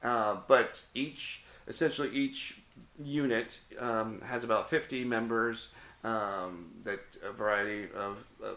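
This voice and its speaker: A man's voice: fairly low pitch, a bit bright, echoey room. A middle-aged man from the American Midwest, a guest in a casual interview, telling his life story with many ums and restarts. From Cincinnati, Ohio (US Midwest).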